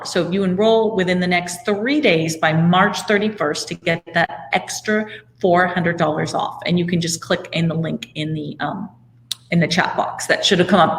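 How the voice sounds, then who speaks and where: A middle-aged woman co-hosting an online course webinar, presenting the offer in a conversational sales style. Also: on the low side. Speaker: co-host, business partner presenting a course. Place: indoor room at home, video call.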